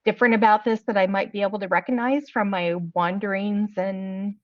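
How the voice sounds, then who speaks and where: A woman's voice: medium pitch, fairly even intonation, a little fast, no echo, dull audio. A woman playing a tabletop game online, chatting informally and asking inquisitive questions. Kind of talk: casual chat and game questions.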